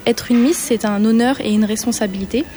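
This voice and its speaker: A woman's voice: a little high, fairly quick, warm tone. A woman in her twenties, a beauty pageant candidate, speaking hesitantly with many fillers. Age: 20s.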